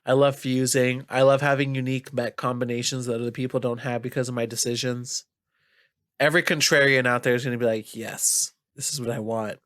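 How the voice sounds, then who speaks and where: Male podcast host, nasal, mid-pitched, casual gamer chat, dry recording. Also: level tone, a little fast, a bit bright, dry recording.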